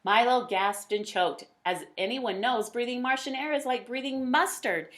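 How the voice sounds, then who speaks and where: A woman reading a children's picture book aloud, with dramatic emphasis and drawn-out words. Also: a little high, fairly bright tone. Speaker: read-aloud storyteller.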